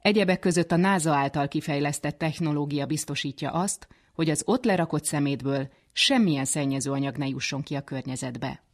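A woman reporter reading scripted narration for a radio feature. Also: deep voice.

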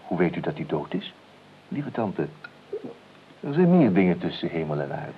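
An actor playing a nervous, over-polite and evasive nephew in a Dutch radio play, hesitant, fussy and deferential, given to exclaiming. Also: male voice, deep voice, sing-song, normal speed, breathy edge, full character voice. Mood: guarded. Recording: dull, noisy old radio recording.

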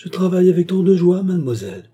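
Guy reading as loyal older maid lady in a storybook, fussy n protective, pitched up, mellow husky voice. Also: sing-song, fast, heavily acted. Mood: devoted, earnest. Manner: exclamatory, protective, deferential scolding. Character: devoted fifty-year-old peasant maidservant, fussy and indignant. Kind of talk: audiobook character dialogue.

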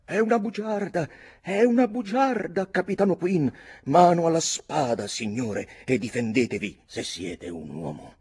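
A man's voice: fairly low pitch, huge pitch swings, measured pace, hardly any pauses, a little mellow, slightly rough, exaggerated voice. A man voicing a hot-headed, boastful teenage boy in a story, declaiming defiantly. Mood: furious.

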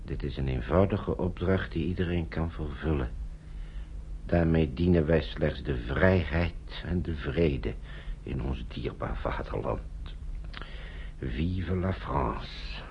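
An actor playing a stern, inciting propaganda voice in a radio drama, declaiming pompously. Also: male voice, rumbling low, slow, full character voice. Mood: solemn exhortation. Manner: pompous, oratorical. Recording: noisy, dull old radio recording.

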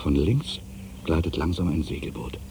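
An actor playing an aging, weary writer in a literary monologue, brooding and wry, with a gravelly voice and deliberate, weighted pauses. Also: male voice, extremely low pitch, noisy background. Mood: watchful, musing. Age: older.

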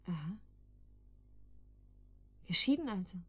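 Young housewife, anxious, trusting, hesitant, earnest, mid-pitched voice, radio play. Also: female voice, big pitch swings, takes it slowly, husky, exaggerated voice. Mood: uneasy, wistful. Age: young adult. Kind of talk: German radio drama acting.

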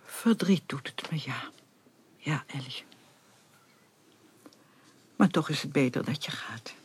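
An actress playing a gentle, sorrowful older motherly lady in a period radio drama, speaking softly and tenderly. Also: low-pitched, expressive, whispered, full character voice. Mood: sad, forgiving. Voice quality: husky, breathy. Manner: soft, tender, deliberate. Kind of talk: Dutch radio play acting.

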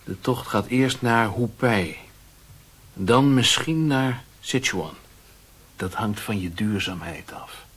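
Sly, husky, mocking merchant. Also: male voice, lowish voice, animated, a bit bright, exaggerated voice. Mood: commanding, sly. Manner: sardonic, taunting, deliberate, given to aphorisms. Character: fat, shady Chinese merchant and fraudster, mocking, philosophical. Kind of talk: radio play acting.

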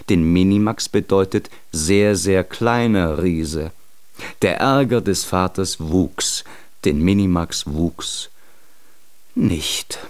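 A man with a low voice narrates a children's radio story. He takes his time, phrasing in a measured storyteller's way. The sound is very dry. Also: dry recording.

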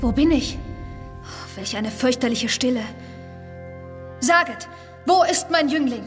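An actress playing a tender, anguished young princess in love, pleading and lamenting in theatrical verse. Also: female voice, sits high, animated, a little slow. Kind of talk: theatrical verse in opera radio play. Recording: long hall reverb, faint music behind.

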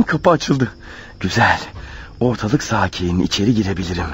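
A man's voice: fairly low pitch, a little hiss or hum, lightly acted. An actor in a radio play voicing a desperate, nervous man turned amateur burglar, hesitantly talking to himself. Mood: tense, furtive. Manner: hesitant, muttering to himself, rehearsing and exclaiming. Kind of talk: radio play monologue, thinking aloud. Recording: dull lo-fi radio drama over music.

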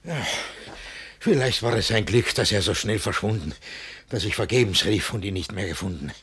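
An actor playing a jealous, pompous, panicky middle-aged master in a theatrical verse comedy for radio, raspy and breathless, swinging between muttering and bellowing. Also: male voice, fairly low pitch, expressive, moderate pace, a bit bright, exaggerated voice. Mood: anguished, brooding.

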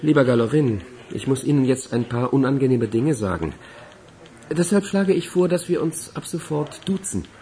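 Actor as a blunt, mellow-voiced police major. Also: male voice, mid-range voice, lively intonation, normal speed, close to an everyday voice. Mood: firm. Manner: blunt, takes charge. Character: assertive male police major from the capital, blunt. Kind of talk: German radio crime drama acting.

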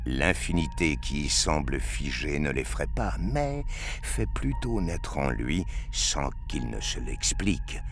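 A man with an extremely low voice narrates an audio fiction story. He reads slowly, with lively rises and falls in pitch. There is faint background noise.

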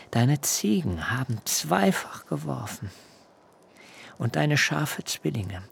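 Slow, gravelly, aggrieved biblical herdsman. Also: a little hiss or hum, full character voice. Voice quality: low, gravelly. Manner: archaic, rhetorical, deliberate. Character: aggrieved ageing biblical herdsman, pouring out righteous anger. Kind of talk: literary radio drama acting.

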